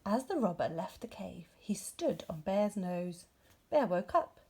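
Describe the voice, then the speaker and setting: Female children's story narrator: expressive, animated pitch, warm and rounded tone. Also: unhurried, breathy edge. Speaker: storybook reader.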